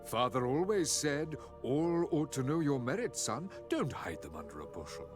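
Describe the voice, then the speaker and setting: An actor playing a haughty, boastful wealthy banker in a video game, with a pompous manner of speaking. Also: male voice, medium pitch, very animated, over music, natural voice. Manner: pompous, drawn-out phrasing. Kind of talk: video game character acting.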